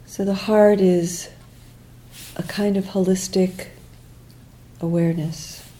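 A woman leading a guided meditation as a teacher, speaking gently in short, unhurried phrases with long pauses and quiet, trailing endings. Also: medium pitch, fairly even intonation, low-quality recording.

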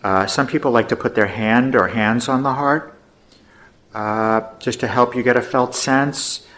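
A man teaching meditation, giving gentle, unhurried guided instructions with pauses. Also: medium pitch.

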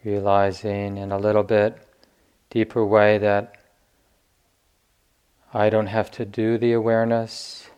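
Guy leading a meditation, really slow and gentle, low smooth voice. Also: monotone. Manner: unhurried, gentle, with long pauses between phrases. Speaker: meditation teacher. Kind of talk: guided meditation instruction.

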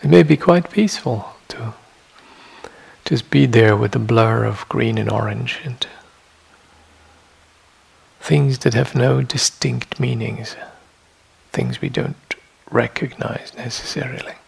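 A man teaching a guided meditation in a meditation hall, speaking in measured short phrases with long pauses and a husky, gravelly voice. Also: mid-range voice.

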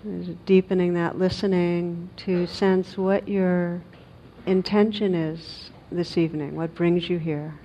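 A woman meditation teacher leading a guided meditation in a gentle, unhurried manner with long pauses, on a recording with some noise. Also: steady tone. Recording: dull recording with noise and rumble.

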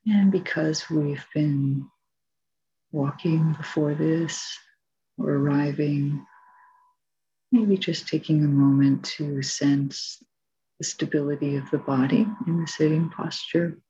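A woman teaching meditation, giving calm, gentle spoken guidance with long pauses between phrases. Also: low voice, highs cut off, slight background noise. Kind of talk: guided meditation, soft spoken instruction.

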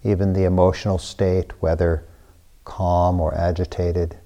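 A man leading a guided meditation, speaking in sparse, hushed phrases with long pauses between them. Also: low-pitched, level tone, dull audio. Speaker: meditation teacher.

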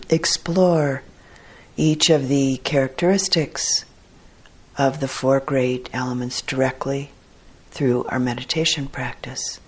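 A woman teacher guiding a meditation in a measured, deliberate way with long pauses and a husky, soft-edged voice. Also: rumbling low, steady tone. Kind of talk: guided meditation instruction.

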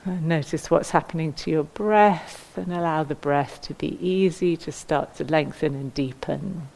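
Female meditation and movement teacher, low voice, gentle and unhurried guidance, leaves space between phrases. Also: creaky, rough recording. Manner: gentle, unhurried, inviting, with pauses. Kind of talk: guided mindful movement instruction.

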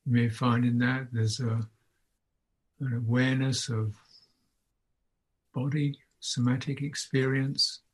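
A man guides a meditation in a mid-pitched, slightly husky voice. He speaks very slowly and contemplatively, setting out short phrases with long pauses between them, as a teacher finding the words in the moment. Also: level tone, poor audio. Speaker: Buddhist monk, meditation teacher. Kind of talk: unscripted guided meditation talk.

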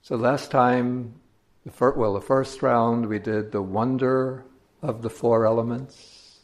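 A man teaching meditation, giving guided instructions in measured phrases with pauses between them. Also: mid-range voice, fairly even intonation.

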